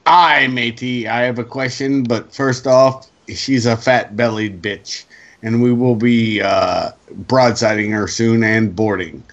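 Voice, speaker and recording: A male co-host giving opinionated casual talk, explaining emphatically with halting pauses over a lo-fi connection. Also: medium pitch, fairly even intonation, fairly bright tone. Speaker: co-host putting questions to the guest.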